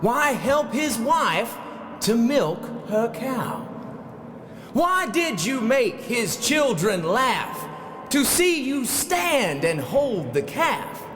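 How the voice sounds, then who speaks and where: An actor playing a frustrated, grandiose old-time legislator declaiming an emphatic rhyming floor speech. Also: male voice, very high voice, big pitch swings, drawn-out words, fairly bright tone, faint music behind, heavily acted. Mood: impassioned, frustrated. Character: impassioned, frustrated 1909 legislator orating in rhyme. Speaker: actor in historical re-enactment. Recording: very echoey hall, background noise.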